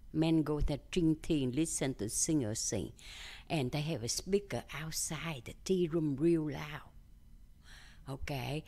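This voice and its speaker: An older woman from Vietnam with a Vietnamese accent, telling her story in an interview in simple, halting English with many fillers. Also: low voice, dark tone. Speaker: interview guest. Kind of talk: conversational interview.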